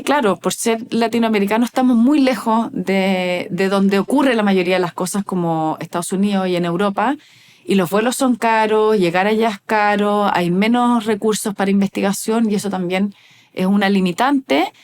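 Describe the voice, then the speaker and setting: A woman's voice: mid-range voice, moderate pace. A woman researcher, speaking as a guest in a casual interview, thoughtful and hesitant with many fillers and restarts.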